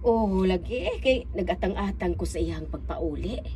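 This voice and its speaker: A woman's voice: on the low side, normal speed, mellow, slight background noise, close to an everyday voice. A woman playing a middle-aged, anxious village mother in a radio drama, homely and earnest.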